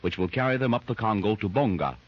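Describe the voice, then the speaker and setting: A male announcer giving measured, scripted recap narration for a 1930s radio serial, on a muffled old recording. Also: lowish voice, lively intonation, slight background noise.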